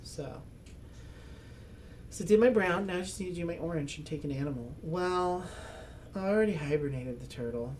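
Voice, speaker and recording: A man's voice: a little high, moderate pace, pauses often, slight background noise. A man hosting a board game channel, thinking aloud through a solo game with chuckles and self-mocking asides. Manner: speaks as a loud, projecting voice. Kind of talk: casual solo playthrough commentary.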